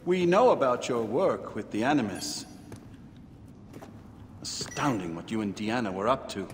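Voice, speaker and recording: A man playing a calm, commanding leader of secret assassins in a video game cinematic, measured, persuasive and dryly confident. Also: mid-range voice, natural voice. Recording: long reverb, clear background noise.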